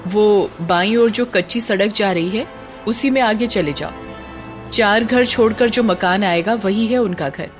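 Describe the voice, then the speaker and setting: A woman's voice: mid-range voice, a little slow, faint music behind, close to an everyday voice. A woman voicing an elderly village man, familiar yet guarded, in an audio drama.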